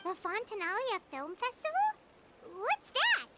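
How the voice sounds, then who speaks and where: A woman voicing a tiny, childlike fairy companion in a video game cutscene, chirpy, curious and exclamatory, with a squeaky voice. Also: wildly swooping pitch, unhurried, very noisy, heavily acted. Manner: speaks of herself in the third person, exclamatory. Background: music.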